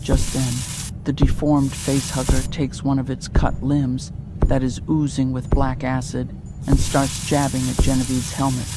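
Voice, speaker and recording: A narrator reading a scripted audio drama in a measured, descriptive storytelling manner. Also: mid-range voice, flat delivery, heavy background noise.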